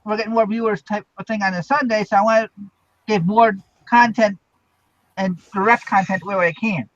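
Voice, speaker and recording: A man hosting a casual live stream over a video call, talking in a quick, rambling way. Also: sits high, fairly even intonation, fairly bright tone, no echo. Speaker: show host. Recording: dull dry lo-fi audio.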